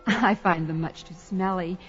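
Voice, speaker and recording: A woman's voice: fairly low pitch, slow pace, husky. Coy young queen. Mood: airily condescending. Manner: regal, coy. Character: young desert queen, haughty, coy, airy. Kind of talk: audio sword-and-sorcery drama acting. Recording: dull lo-fi audio, faint music.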